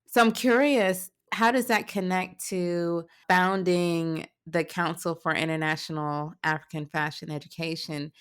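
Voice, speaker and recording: Curious woman host, lowish voice. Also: steady tone, a little slow, hardly any pauses, smooth. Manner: casual, curious, laughs easily. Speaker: interview host. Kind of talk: interview conversation.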